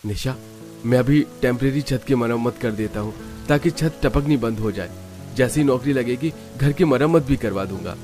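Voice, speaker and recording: A man's voice: mid-range voice, moderate pace, a little mellow, soft background music, close to an everyday voice. A man voicing an earnest, protective young husband in a cartoon family drama. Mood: reassuring.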